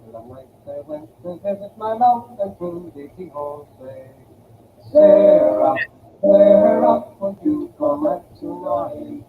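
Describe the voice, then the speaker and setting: A man singing a slow love song. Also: high voice, expressive, gravelly, slight background noise. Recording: phone-like, echoey old song recording.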